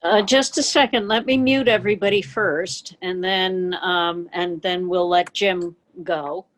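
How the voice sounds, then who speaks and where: An older woman speaks very slowly in a slightly low voice. She moderates a video call, casually handling the technical housekeeping. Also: steady tone, background noise. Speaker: meeting moderator. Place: room at home, indoors. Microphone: device microphone on a video call.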